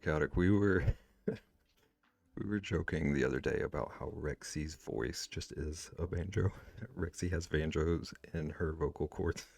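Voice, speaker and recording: A man's voice: very deep voice, measured pace, vocal fry, a little mellow, no room sound. A man streaming live while he draws, chatting casually with viewers and rambling with lots of "like" fillers. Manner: rambling, with many "like" fillers and restarts. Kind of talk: casual chat, reading and answering chat.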